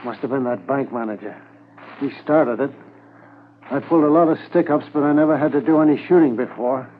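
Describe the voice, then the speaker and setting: An actor in a 1950s radio drama playing a tired, sneering wounded bank robber under arrest. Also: male voice, mid-range voice, brisk, natural voice. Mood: weary, brooding. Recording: lo-fi 1950s radio transcription, faint hiss.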